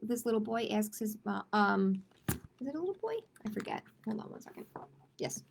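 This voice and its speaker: A woman's voice: mid-range voice, lively intonation, moderate pace, gravelly, slight background noise. A woman hosting a children's storytime, reading picture books aloud and chatting expressively and directly with young listeners. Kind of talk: children's read-aloud with casual chat.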